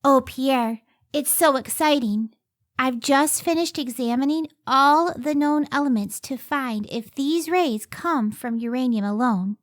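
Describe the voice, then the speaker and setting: A woman's voice: sits high, a little slow, no room sound, studio quality. A woman playing an earnest, modest scientist and devoted wife in an old-time radio drama, careful and emotive. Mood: excited, earnest.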